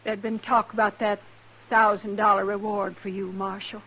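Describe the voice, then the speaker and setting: An actress playing a humble, desperate homesteader widow with pleading, rustic speech in an old radio drama. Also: female voice, mid-range voice, level tone, normal speed, a bit bright, lightly acted, low-quality recording. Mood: worried, pleading. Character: anxious, humble, starving homesteader mother, recently widowed. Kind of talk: 1950s radio drama acting.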